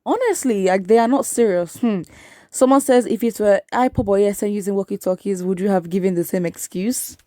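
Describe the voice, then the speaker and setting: A woman's voice: medium pitch, fairly quick, warm tone, no room sound, clean studio sound. A woman giving opinionated news commentary as host, exasperated, with emphatic repetition and rhetorical questions. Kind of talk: opinionated commentary, reading viewer comments aloud.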